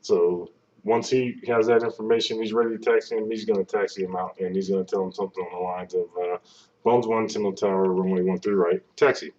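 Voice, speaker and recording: A man's voice: sits low, fairly even intonation, brisk, darkish tone. A man giving an informative explainer talk in a plain-spoken, conversational way, step by step, recorded on a webcam microphone. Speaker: air traffic control presenter. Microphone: webcam microphone at some distance. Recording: distant, dull webcam microphone sound.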